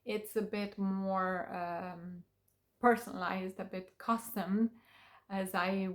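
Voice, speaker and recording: Romanian-born woman speaking in an unhurried way. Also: medium pitch, fairly even intonation. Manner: unhurried, with many fillers and pauses. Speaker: vlogger. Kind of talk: casual talk to camera.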